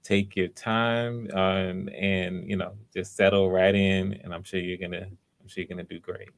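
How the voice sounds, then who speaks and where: A man hosting live auditions, giving casual, encouraging coaching in a halting way with repeated phrases. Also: low-pitched, flat delivery, creaky. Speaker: host of live voice auditions, director giving notes.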